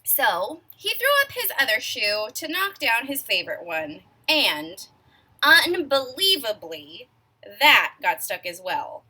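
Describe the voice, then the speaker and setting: A high, bright female voice reads a children's story, swooping all over her range. She stops to quiz her young listeners and sometimes drops to a near whisper for suspense. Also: takes it slowly. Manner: expressive, asks young listeners questions. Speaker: storyteller reading a picture book. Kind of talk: children's read-aloud.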